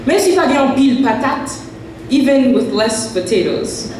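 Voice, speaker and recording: A woman's voice: lively intonation, moderate pace, background noise. A woman from Haiti, whose first language is French, performing spoken word poetry with rhythmic, emphatic delivery. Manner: rhythmic, emphatic recitation, higher and more forceful when reciting. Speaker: poet. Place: large echoey festival hall. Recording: echoey hall recording from far off.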